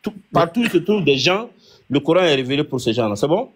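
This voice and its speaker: Guy running a religion debate, kinda high voice, lots of restarts. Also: sing-song, quick pace. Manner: argumentative, many restarts.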